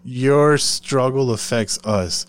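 A man's voice: mid-range voice, slow, no room sound. A man from Puerto Rico in a casual, profane discussion, speaking emphatically and often circling back to repeat himself.

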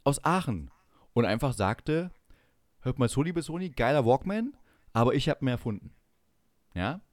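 A middle-aged man hosts a podcast in a casual, conversational way, telling anecdotes as he goes. His voice sits at an ordinary male pitch, recorded very dry. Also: very animated, normal speed, dry recording. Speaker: podcast host.

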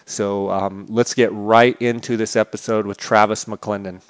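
A man with a lowish, smooth voice reads his podcast's sponsor spots in a flat, even tone. His talk is loose and casual, full of "uh"s and small restarts. The sound is dry and a little muffled. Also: a little slow, no echo. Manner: casual, many filler "uh"s and restarts. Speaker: podcast host.

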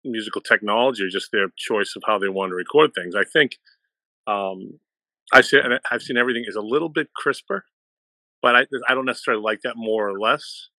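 A man's voice: on the low side, fairly quick, sharp tone, no echo, low-quality recording. A man with a Boston accent talking casually as a guest on a music discussion. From Boston area, United States. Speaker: panel guest, music fan.

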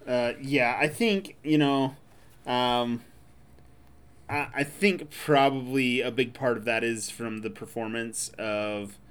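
A man in casual podcast chat who rambles with enthusiasm and fills his talk with "you know" and "uh". His voice sits at an ordinary middle pitch. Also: slow, a bit bright, clear, resonant tone. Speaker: podcast co-host.

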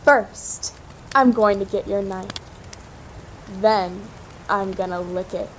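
A woman's voice: mid-range voice, slow, a little hiss or hum, natural voice, rough recording. A woman playing a cruel, hungry cannibal captive who savors her menacing taunts in an audio drama. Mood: gleefully sadistic.

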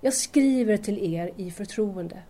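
An actress playing an anxious, yearning young student in love, earnestly confiding a letter in an audio drama. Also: female voice, mid-range voice, warm tone, close to an everyday voice. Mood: confiding, wistful. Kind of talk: audio drama monologue, letter read aloud.

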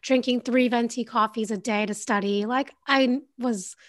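A woman's voice: fairly high pitch, steady tone. A woman in her twenties, a guest on a podcast, telling her story in a chatty way full of fillers. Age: 20s. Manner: chatty storytelling, lots of "like", quick bursts. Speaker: podcast guest, health coach. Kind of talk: casual interview chat.

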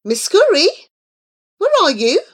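Woman doing a anxious young boy from a storybook, high pleading voice, really earnest. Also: wildly swooping pitch, measured pace, a bit bright, heavily acted. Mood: anxious, determined. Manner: urgent, pleading.

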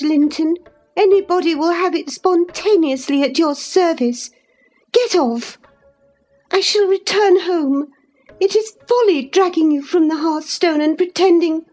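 A haughty young miss, really high voice, scolding and impatient, audiobook drama. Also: female voice, darkish tone, exaggerated voice. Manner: emphatic, scolding, imperious. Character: indignant, scornful young lady, passionate and impatient.

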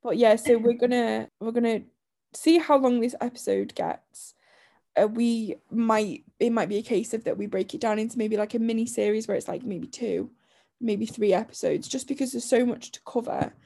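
A woman co-hosting a podcast in casual chat, her voice croaky from a lingering chest illness, talking chattily with frequent laughs and restarts. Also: fairly high pitch, animated, normal speed, warm tone. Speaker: podcast co-host.

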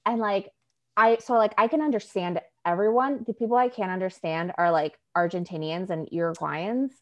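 A woman's voice: medium pitch, normal speed. A woman from New York whose first language is Spanish, chatting eagerly on a podcast and leaning on "like" a lot. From New York, United States. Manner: enthusiastic, lots of filler "like". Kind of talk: casual chat.

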